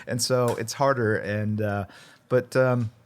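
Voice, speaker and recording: A man in his fifties whose first language is Korean, chatting as an interview guest about his work and family, relaxed and reflective, chuckling often. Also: mid-range voice, a little slow, clear, resonant tone. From United States, Midwest. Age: 50s. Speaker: guest, comic artist. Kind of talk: casual interview chat.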